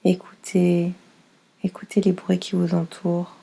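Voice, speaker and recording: A woman leading a guided yoga nidra relaxation as instructor, soothing and unhurried, speaking in short phrases with pauses. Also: on the low side, completely flat delivery, creaky, mellow, slightly rough.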